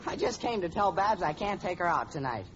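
An actor playing a polite, earnest, harmless young boyfriend in an old radio family comedy. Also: male voice, high-pitched, very animated, fairly quick. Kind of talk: 1940s radio comedy acting. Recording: very noisy, muffled old radio recording.

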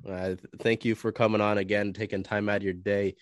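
A man's voice: fairly low pitch, level tone, fairly quick, no echo, studio quality. A man hosting a sports podcast interview, a little nasal and stuffy from a cold, who asks long, rambling questions. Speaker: podcast host. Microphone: video call.

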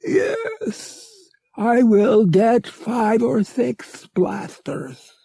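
High, drawn-out furry alien giant. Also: male voice, huge pitch swings, gravelly, dark tone, slightly rough, no room sound, exaggerated voice. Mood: agreeable. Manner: clipped broken grammar, third-person self-reference. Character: huge furry male cat-like alien, earnest and anxious. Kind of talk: audiobook character voice.

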